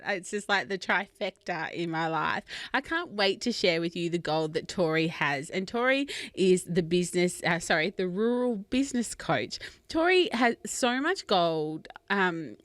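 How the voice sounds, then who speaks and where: Australian woman, podcast host, middle pitch, dry close sound, eager and chatty. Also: fairly bright tone, no room sound. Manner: enthusiastic, chatty, with fillers. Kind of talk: casual interview chat. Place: rural home.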